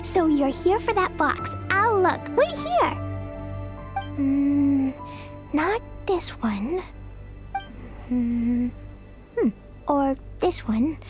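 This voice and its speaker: Small girl, extremely high voice, slow pace, cheerful, naive, rash, childlike, video game acting. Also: very animated, music in the background, heavily acted. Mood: busy, eager. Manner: childlike, naive, simple wording.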